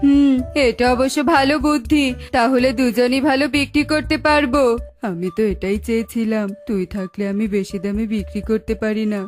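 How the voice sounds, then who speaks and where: A woman's voice: fairly high pitch, steady tone, moderate pace, faint music behind, lightly acted. A woman voicing a sly, greedy male crow peddler in a children's cartoon. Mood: scheming, gloating. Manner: scheming, hawking and muttering to himself.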